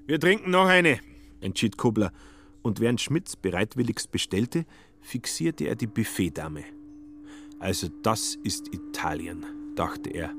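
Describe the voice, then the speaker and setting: An actor as the wry narrator of a satirical radio drama, telling the story and acting out the quoted lines. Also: male voice, lowish voice, very animated, unhurried, a bit bright, exaggerated voice. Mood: wry, lively.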